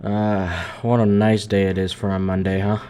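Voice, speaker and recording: A man's voice: on the low side, little pitch movement, normal speed, dark tone, smooth. A man live-streaming a game, chatting informally and reacting aloud in a joking way. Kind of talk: casual live-stream commentary. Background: game music.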